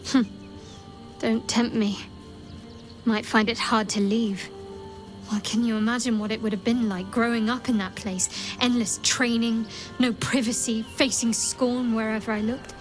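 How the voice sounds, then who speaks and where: Woman playing a guarded, wry young cleric, lightly high-voiced. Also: level tone, unhurried, soft background music, lightly acted. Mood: wry reflection. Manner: reflective, wry. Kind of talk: naturalistic video game character acting.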